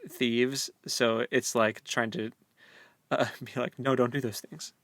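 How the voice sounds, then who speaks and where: A young American man chats casually as a co-host, in a close, dry recording. His pitch sits in the middle for a man, and he retells things in a jokey, conversational way. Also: little pitch movement, slow, fairly bright tone, no room sound. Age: late 20s. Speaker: podcast co-host. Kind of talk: casual book club chat.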